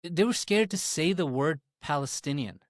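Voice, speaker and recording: A man's voice: fairly high pitch, animated, moderate pace, no room sound, clean studio sound. A man from Pakistan speaking as a podcast guest, earnest and impassioned, often repeating words as he makes his point. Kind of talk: casual political discussion.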